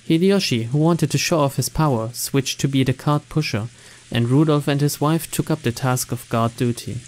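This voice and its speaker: A man reading visual novel text aloud in a steady, even narration. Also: mid-range voice, normal speed. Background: game music.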